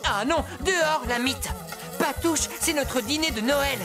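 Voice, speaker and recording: Feisty little boy in a kids cartoon, high lively voice, full of bravado, music under it. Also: unhurried. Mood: exasperated. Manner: exclamatory, self-talking, boyish bravado. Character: spirited, determined young boy caring for his grandma. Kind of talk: children's cartoon voice acting. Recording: music behind the voice.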